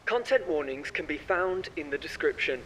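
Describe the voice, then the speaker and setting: A man reading the show's intro and content warning in a slow, measured announcing manner. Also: on the high side, lively intonation, background noise. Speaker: show announcer. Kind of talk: scripted show intro.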